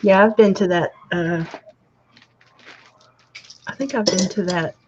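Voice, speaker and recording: A woman hosting a craft chat from home, playful and teasing, and she talks loud. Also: mid-range voice, slow. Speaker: craft channel host. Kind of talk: casual chat while crafting. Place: home craft room, TV in the next room. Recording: dull lo-fi sound with faint noise.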